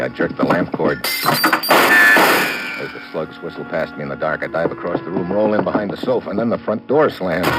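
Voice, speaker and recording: Very deep-voiced hard-boiled radio detective. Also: male voice, poor audio. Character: tough male detective hero narrating his adventure. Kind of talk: 1940s-50s radio drama acting, first-person narration.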